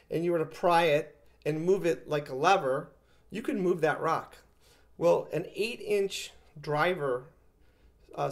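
A man in his fifties giving an explanatory product review with plain everyday comparisons. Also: fairly high pitch. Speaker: audio equipment reviewer. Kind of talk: product review talk to camera. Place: living room with acoustic panels, indoors.

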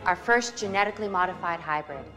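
An actress playing a busy, controlling woman who manages a park, in an animated game cutscene. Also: lowish voice, fast, lightly acted. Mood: brisk. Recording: music behind the voice.

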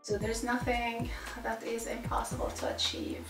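A woman's voice: on the high side, big pitch swings, moderate pace. Woman, middle-aged, Arabic speaker, hesitant and thoughtful, recorded a bit far off in a roomy office. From Egypt. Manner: thoughtful, many fillers and restarts. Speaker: Arabic teacher. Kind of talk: interview answers. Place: office with posters, indoors. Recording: roomy, distant, music behind.

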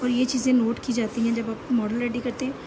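A woman's voice: fairly high pitch, little pitch movement, brisk. A woman with a Pakistani accent giving an informal, instructive hairstyling tutorial as a salon instructor, often checking that listeners follow. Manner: instructive, repeatedly checks with "okay". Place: indoor beauty salon. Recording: very noisy salon room recording. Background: loud background noise.

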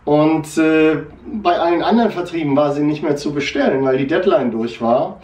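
A middle-aged man with a northern German accent talks shop about new figures, rambling and full of fillers. His voice sits a little high. The mic is set back, so the sound is distant and roomy. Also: moderate pace, darkish tone, muffled recording. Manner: chatty, rambling with many fillers and restarts. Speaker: comic shop owner presenting new merchandise. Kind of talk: casual product chat. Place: shop room with posters, indoors.

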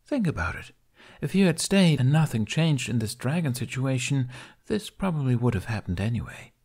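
A bold young burglar heiress from an adventure audiobook, played by a man. She teases with a sly, dry edge, and her pitch moves freely, lifted above the narrator's usual talk. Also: big pitch swings, moderate pace, a little mellow, husky. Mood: arch.

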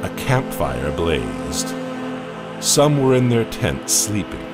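Deep, slow male horror narrator. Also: sing-song. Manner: dramatic, measured storytelling. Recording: music bed underneath.

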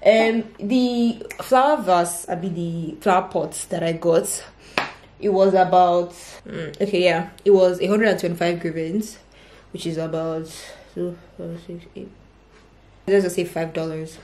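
A woman's voice: fairly low pitch, steady tone, a little slow, darkish tone. Chatty young woman vlogging at home. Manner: chatty, upbeat, rambling with fillers. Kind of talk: casual vlog chat to camera. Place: small furnished apartment living room. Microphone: camera at arm's length.

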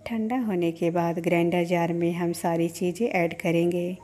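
Low, flat-voiced woman explaining a recipe. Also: moderate pace, soft background music. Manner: steady step-by-step instruction. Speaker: cooking channel host. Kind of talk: calm recipe voice-over.

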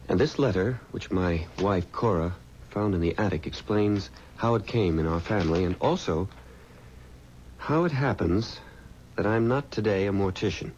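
An actor playing an earnest, courteous undertaker's assistant in a 1970s radio drama, emphatic and a little halting. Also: male voice, low voice, lively intonation, darkish tone. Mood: solemn, measured. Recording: dull, noisy old radio recording.

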